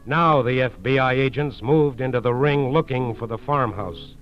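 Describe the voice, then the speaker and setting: A man's voice: mid-range voice, normal speed, close to an everyday voice. A man narrating a 1940s radio crime drama in a terse, suspense-building style. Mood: suspenseful. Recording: muffled vintage radio broadcast with music. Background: dramatic music.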